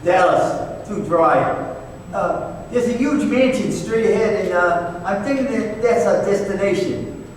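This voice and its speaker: A man playing a terse, sardonic detective partner in an old-time radio drama, with a halting, deadpan delivery. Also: high-pitched. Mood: deadpan, tense. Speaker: actor in a staged radio play. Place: live performance in a reverberant hall. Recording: very echoey hall, distant mic, faint noise.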